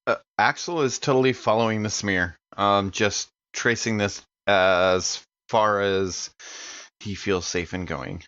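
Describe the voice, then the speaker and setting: A man's voice: lowish voice, steady tone, slow, a bit bright, clear, resonant tone, no echo. Dull dry audio, guy at a game table chatting casually as a player.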